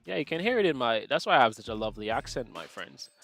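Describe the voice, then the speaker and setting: A man's voice: medium pitch, big pitch swings, quick pace, creaky, a bit bright, soft background music. A man from Jamaica hosting a live trading stream, chatting casually and joking with viewers in the chat. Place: home setup, UK.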